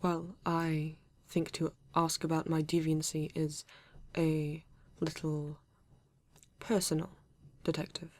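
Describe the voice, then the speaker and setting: A woman with a British accent playing a formal, reserved male android detective in an ASMR roleplay, speaking stiffly and deliberately with halting pauses. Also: low voice, level tone, no echo. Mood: guarded, halting. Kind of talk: ASMR roleplay addressed to the listener.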